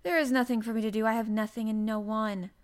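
A woman's voice: high-pitched, expressive, moderate pace, voice pushed up. A woman voicing an eager, inquisitive young girl with an earnest manner, in an audiobook. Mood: timid, forlorn. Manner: earnest, questioning. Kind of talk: audiobook character voice.

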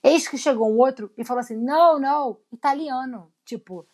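A woman's voice: high voice, a little fast, warm tone. A young woman with a Rio de Janeiro Brazilian accent, chatting casually and telling anecdotes in slangy speech, acting out what people said. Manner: slangy storyteller, acts out quoted lines. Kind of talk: casual chat, animated anecdotes.

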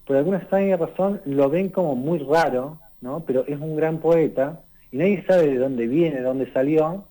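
A man, giving a conversational radio literature column and explaining things unhurriedly, often pausing on "eh" and tagging his sentences with "¿no?". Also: on the high side, mellow, low-quality recording. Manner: unhurried and explanatory, tags lines with "¿no?" and "eh".

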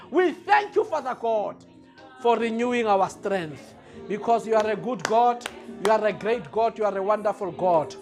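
A man leading a passionate, repetitive prayer as a pastor, often raising his voice to a shout. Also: very high-pitched, creaky. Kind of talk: fervent spoken prayer and worship.

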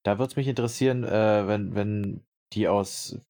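A man's voice: fairly low pitch, a little slow, warm tone. A young man from Brandenburg co-hosting a podcast, chatting casually and rambling with many fillers. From Brandenburg, Germany. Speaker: podcast co-host.

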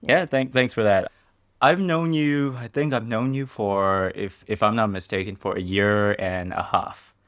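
A man hosts a casual podcast interview, drawing out long, searching questions. His voice is very deep and recorded close and dry. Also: fairly even intonation, unhurried, fairly bright tone, no echo. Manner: asks rambling, searching questions. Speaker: podcast host.

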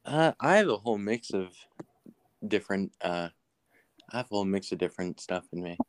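A man's voice: sits low, animated, a little fast, no room sound. A man chatting casually on a podcast call, laid-back and rambling, with many fillers. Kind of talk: casual chat.

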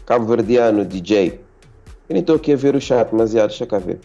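A man's voice: on the low side, fairly quick, highs cut off, soft background music. A man from Portugal, a beat producer rambling casually in a livestream interview and saying yeah a lot. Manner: relaxed, rambling, says yeah often.

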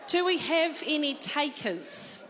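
Slightly croaky woman preaching in a hall. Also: high-pitched, takes it slowly, slight background noise. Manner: animated, engaging the congregation. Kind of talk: sermon.

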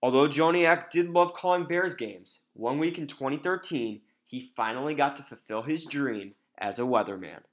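A man's voice: medium pitch, moderate pace, few pauses. A young man narrating a podcast feature from a script, in documentary style.

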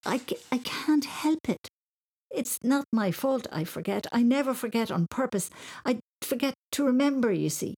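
A woman's voice: a little high, animated, quick pace, hardly any pauses, breathy edge, voice pushed up. A woman voicing an earnest, mischievous young schoolboy in a storybook, protesting and pleading. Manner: stammering, pleading excuses.

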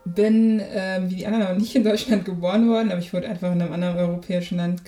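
A woman in her twenties, a guest on a casual podcast, talking in a lively way. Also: on the low side, rapid-fire, roomy sound, soft background music. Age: 20s.

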